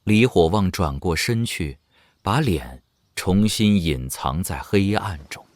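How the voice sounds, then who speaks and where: A man's voice: deep voice, expressive, slow pace, no echo. A man giving dramatic scripted narration for an audio drama. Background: faint music.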